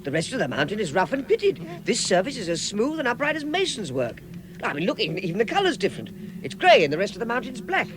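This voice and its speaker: An actor playing a timid, fussy hobbit gentleman in a vintage radio drama, stammering as he speaks. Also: high voice, wildly swooping pitch, fast, background noise. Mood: excited insistence.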